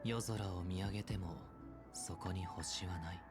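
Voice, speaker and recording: An actor as a courteous, brooding man in an anime game speaks in a very deep voice. His phrasing is formal and philosophical, tinged with melancholy. Music plays clearly behind him. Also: steady tone, a little fast, lightly acted. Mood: philosophical, hushed. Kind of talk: Japanese anime-game voice acting.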